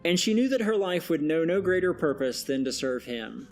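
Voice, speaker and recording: A pious, folksy novelist played by a woman in an audio drama, gently chiding and preachy. The delivery swings up and down with plenty of life, over clearly audible music. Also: sits low, animated, normal speed. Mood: pious, gracious. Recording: music behind the voice.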